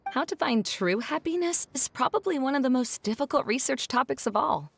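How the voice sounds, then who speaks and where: A woman playing a frank, encouraging young scholar in a video game, articulate, reflective and gently wry. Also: sits high, animated, a little fast, creaky, husky, no room sound, exaggerated voice. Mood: reassuring. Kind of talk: video game cutscene voice acting.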